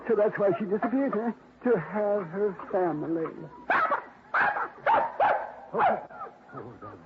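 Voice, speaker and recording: A man's voice: high voice, unhurried. A blustering old father. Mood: delighted. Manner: excitable, blustering, old-fashioned exclamations. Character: gruff older father, overjoyed dog owner. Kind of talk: 1950s radio drama acting. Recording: tinny lo-fi old radio broadcast with loud hiss.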